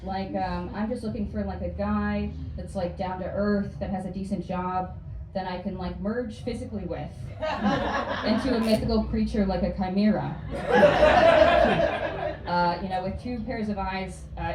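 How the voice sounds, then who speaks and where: A young woman from Florida doing a hesitant, deadpan stand-up set, full of ums and likes. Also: mid-range voice, moderate pace. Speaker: stand-up comedian. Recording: distant, echoey club with noise.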